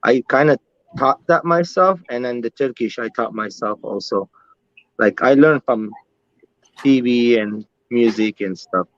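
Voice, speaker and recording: A man speaks in a mid-pitched, earnest voice. He halts and restarts his words often. The sound is dull and lo-fi, with no room around it. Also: unhurried, no echo. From Lebanon. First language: Armenian. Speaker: guest caller on stream. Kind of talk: casual chat. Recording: dull, dry, lo-fi line.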